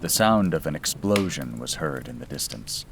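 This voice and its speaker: A man's voice: very deep voice, expressive, normal speed. A man reading a scripted bedtime story as its narrator. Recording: clear background noise and ambience.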